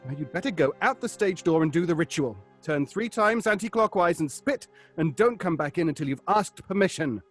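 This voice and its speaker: Animated, kindly stage actor. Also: male voice, on the high side, a little fast, hardly any pauses, faint music behind. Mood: alarmed, insistent. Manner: reassuring, cautioning. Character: kindly but superstition-wary male stage actor, a seasoned colleague. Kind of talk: dramatic audio fiction acting.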